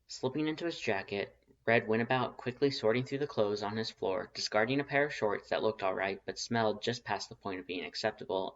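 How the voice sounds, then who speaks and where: A narrator reading a scripted fan fiction story aloud. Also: medium pitch. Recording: dull lo-fi recording.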